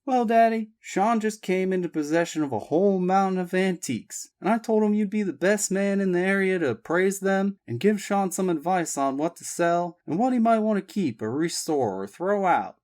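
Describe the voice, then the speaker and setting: A woman's voice: mid-range voice, animated, moderate pace, few pauses, darkish tone, pitched above the performer's own voice, close to an everyday voice. A man voicing an outspoken young woman, speaking emphatically. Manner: emphatic, exclaiming. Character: spirited young Southern woman, outspoken and teasing.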